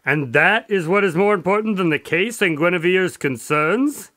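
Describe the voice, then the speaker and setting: A man's voice: high voice, animated, normal speed, bright, forceful tone, no room sound, clean studio sound. A man playing a genial but easily exasperated doctor and storyteller in a radio drama, courteous and conversational.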